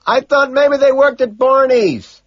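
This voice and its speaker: A man voicing a young Wall Street banker in an audiobook, shouting loudly and with strain over concert noise. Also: very high voice, unhurried, no echo, dull audio, voice pushed up, full character voice. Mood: shouting, curious.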